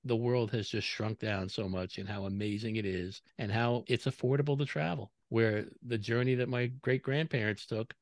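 A man's voice: fairly low pitch, fairly even intonation, normal speed, hardly any pauses, dry recording. Enthusiastic male podcast co-host, dry sound. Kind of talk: casual podcast chat.

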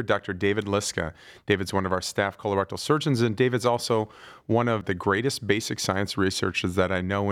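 A man's voice: on the low side, a bit bright. Upbeat man hosting a podcast. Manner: friendly, upbeat questioning. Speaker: podcast host. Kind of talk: podcast interview.

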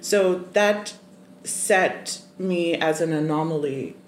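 A young adult guest from Zimbabwe, telling a personal story in a thoughtful, measured way with many pauses and ums. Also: medium pitch. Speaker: podcast guest. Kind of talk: casual interview storytelling. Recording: roomy echoey room, faint noise.

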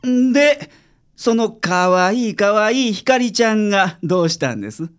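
A woman's voice: mid-range voice, very animated, normal speed, clean tone, close to an everyday voice. A woman playing a blunt, laid-back male private detective with a Kansai accent in a radio drama. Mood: blunt, offhand. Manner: blunt, offhand.

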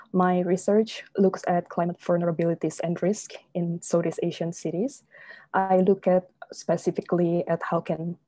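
A woman from Indonesia speaks as an interview guest, measured and pausing often on fillers. Her voice is mellow and low-set, held in a narrow, even range. The sound is dry and close. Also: no room sound. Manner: measured, many filler pauses. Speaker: guest researcher. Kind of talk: remote interview answers.

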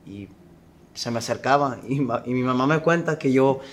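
Hesitant young man, soft-spoken. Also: mid-range voice, moderate pace, a little hiss or hum. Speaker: guest, eldest son. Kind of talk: interview, recollection.

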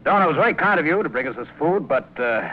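Earnest captive captain, muffled radio sound. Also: male voice, on the high side, sing-song, quick pace. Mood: earnest probing. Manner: earnest, reasoning, dramatic. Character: level-headed, probing male captain held prisoner. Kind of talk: 1950s radio drama acting. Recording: muffled, noisy old radio broadcast.

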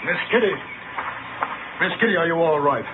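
An American actor playing an anxious, earnest, polite city gentleman in a 1950s radio western. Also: fairly high pitch, animated, normal speed, natural voice. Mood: anxious, urgent. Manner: pleading, insistent, polite. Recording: tinny, noisy 1950s radio broadcast recording.

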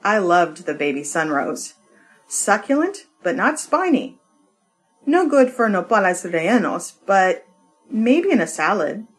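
A woman giving an expressive poetry reading, with deliberate, measured phrasing and pauses. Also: medium pitch. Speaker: poetry reader.

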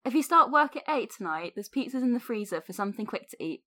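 A woman's voice: fairly high pitch, sing-song, fast talker, pushed and bright. A woman playing a caring wife and mother leaving an affectionate, homely, mildly worried voicemail.

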